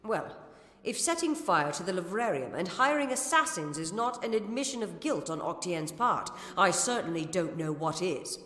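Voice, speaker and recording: A woman playing a stern, formal gnome Templar commander in a fantasy game, speaking with measured authority. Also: fairly low pitch, lightly acted. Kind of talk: scripted fantasy game dialogue. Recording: echoey reverberant hall.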